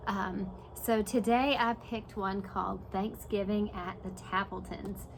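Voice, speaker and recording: A woman's voice: mid-range voice, steady tone, unhurried, vocal fry, poor audio. Woman, preschool teacher, storybook read-aloud, simple explanatory asides for little kids, faint noise behind the voice.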